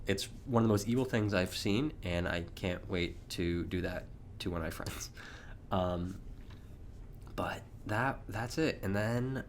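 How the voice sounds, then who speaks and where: A slightly hoarse young man hosting a casual solo podcast, rambling chattily with frequent chuckles. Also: on the low side, slight background noise. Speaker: podcast host.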